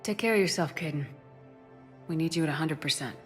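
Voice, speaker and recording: Actress as a woman commander in a game, low voice, direct and steady, faint music behind. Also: brisk, close to an everyday voice. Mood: sincere. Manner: direct, plain-spoken. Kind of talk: video game cutscene dialogue.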